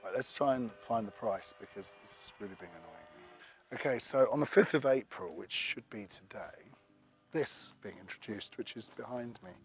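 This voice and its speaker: A man's voice: lowish voice, animated, normal speed, soft background music. A man who is losing his voice, chatting casually through a bike review to camera with rambling asides.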